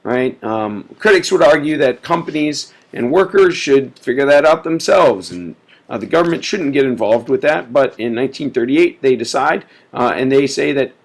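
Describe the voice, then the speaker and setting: A man's voice: mid-range voice, expressive, moderate pace, vocal fry. A man giving a casual recorded history lecture, full of filler words, sick and sniffly. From United States. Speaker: teacher.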